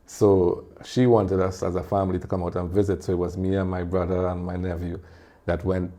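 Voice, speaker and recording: A man with a Trinidadian accent, talking as a guest in a casual interview and telling animated stories with frequent laughter. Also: sits low, a little fast. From Trinidad and Tobago. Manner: animated storyteller, laughs often. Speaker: guest, music video producer and singer.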